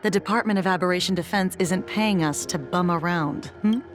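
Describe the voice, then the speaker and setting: Cool game investigator female actor, lowish voice, dry and authoritative, wry and businesslike, music behind. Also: brisk, lightly acted. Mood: wry, firm. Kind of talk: dramatic video game acting. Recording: background music.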